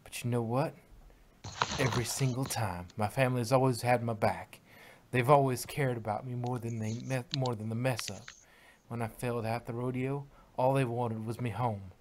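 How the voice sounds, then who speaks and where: A man's voice: medium pitch, fairly even intonation, normal speed, slight background noise, natural voice. A man voicing a stubborn, tough female farm pony with a folksy drawl. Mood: resolute. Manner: folksy, defiant. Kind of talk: fan fiction reading.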